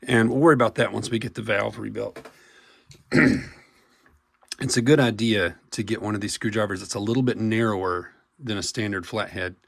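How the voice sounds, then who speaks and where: Hoarse man with a cold, lowish gravelly voice, casual halting repair tutorial. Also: no room sound. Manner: casual, halting explanation with many fillers and restarts. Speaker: paintball marker technician.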